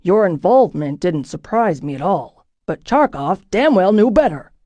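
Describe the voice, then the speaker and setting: A woman voicing a stern middle-aged male ship captain, speaking in low, clipped, menacing commands for an audiobook. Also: very animated, normal speed, dark tone, no echo, highs cut off. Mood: cold. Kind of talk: audiobook character voice.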